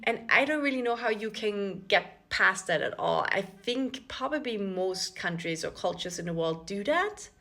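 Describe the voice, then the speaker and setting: Bright-voiced woman with an Austrian accent. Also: mid-range voice, normal speed, vocal fry, few pauses. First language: German. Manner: chatty, animated, many fillers and restarts. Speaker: expat vlogger. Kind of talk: casual storytelling to camera.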